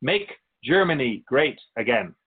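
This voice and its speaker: A man playing a wise, wry otherworldly keeper of souls in a radio drama, speaking in a measured, musing, instructive way. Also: mid-range voice, very animated, breathy edge. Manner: measured, didactic, musing pauses. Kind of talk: radio drama dialogue in interview form. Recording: dull, lo-fi sound.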